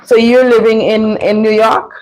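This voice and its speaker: A woman from Saint Vincent with a Vincentian accent, hosting a casual live chat, easygoing and quick to laugh. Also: a little high, level tone, darkish tone, poor audio. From Saint Vincent and the Grenadines. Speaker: live stream host.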